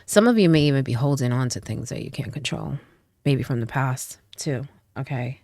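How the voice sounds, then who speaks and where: A woman's voice: a bass voice, moderate pace, mellow, no room sound, studio quality. A woman doing a casual tarot reading, a little stuffy from a cold, chatty and often checking in with "okay?" and "right?". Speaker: tarot reader.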